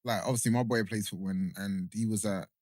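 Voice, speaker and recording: A man hosting a casual podcast, reacting quickly and eagerly in slang and telling his own anecdotes. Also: lowish voice, fairly even intonation, a little mellow, no room sound, clean studio sound. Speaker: podcast host.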